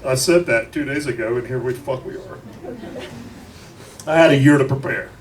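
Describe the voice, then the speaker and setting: Grieving man giving a eulogy, distant and noisy. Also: mid-range voice. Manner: deliberate, with profanity and wry humor. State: grieving, emotional. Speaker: eulogist at a memorial. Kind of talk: emotional eulogy to a crowd. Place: crowded bar at a memorial. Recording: noisy live room, distant mic.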